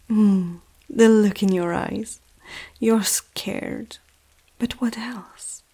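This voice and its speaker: Breathy, slow-talking seductive vampire noblewoman played by a woman. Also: mid-range voice, full character voice. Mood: teasing, seductive. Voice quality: soft, breathy, often dropping to a whisper. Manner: unhurried, teasing, regal. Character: powerful aristocratic female vampire, seductive, possessive and calmly menacing. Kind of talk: ASMR roleplay, intimate slow scripted monologue.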